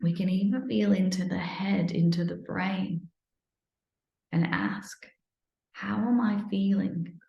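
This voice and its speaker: A woman leads a guided meditation in a soothing, unhurried manner, slipping at times into near-whispers. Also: medium pitch, fairly even intonation, creaky, lo-fi sound. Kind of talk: guided meditation, improvised live. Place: indoor room, live online video session. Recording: roomy, echoey sound.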